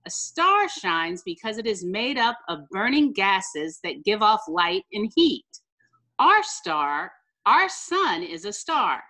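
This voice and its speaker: A middle-aged American woman, a teacher reading a picture book aloud to children with careful, deliberate enunciation over a video call. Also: medium pitch, pushed and bright. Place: small room indoors, on a video call.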